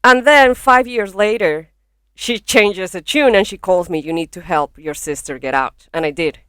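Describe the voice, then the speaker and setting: Young Venezuelan-accented woman, frank comic guest, crisp close podcast mic. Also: mid-range voice, moderate pace, fairly bright tone, no room sound. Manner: frank, swears freely, laughs easily. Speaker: guest comedian. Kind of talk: casual podcast chat. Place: small home studio in a bedroom. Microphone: podcast microphone.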